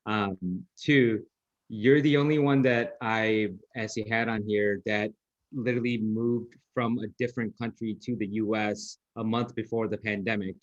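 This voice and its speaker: A man's voice: fairly low pitch, fairly even intonation, moderate pace, dry recording, highs cut off. A man hosting a podcast, interviewing a guest in a friendly, casual way with plenty of fillers. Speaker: podcast host.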